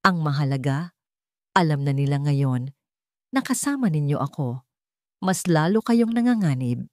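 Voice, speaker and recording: A woman's voice: low voice, expressive, moderate pace, no echo, clean studio sound. A woman voicing a guarded genius male hacker in an audio story, speaking in a terse, grave way. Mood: evasive, grave.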